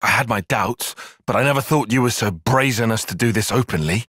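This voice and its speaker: Precise, husky young soldier. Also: male voice, lowish voice, fairly quick, fairly bright tone, no room sound, clean studio sound. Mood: scornful. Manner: precise, formal, bookish phrasing. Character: analytical, earnest young male soldier and tactician. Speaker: video game voice actor. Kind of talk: dramatic game cutscene acting.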